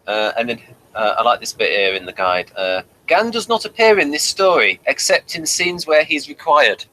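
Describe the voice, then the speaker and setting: A man with a bright, forward voice hosts a casual review. He reads out notes and comments on them with dry, wry humour. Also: medium pitch, sing-song, moderate pace, poor audio. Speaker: review show host. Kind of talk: casual review chat, partly reading aloud.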